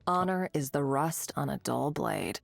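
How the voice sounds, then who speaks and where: A woman voicing a cocky, greedy mercenary desert warrior in a video game, husky and gravelly, with dry, sardonic delivery. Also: rumbling low, lively intonation, a little slow, no echo, soft background music, full character voice. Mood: cool, sardonic. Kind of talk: video game character voice lines.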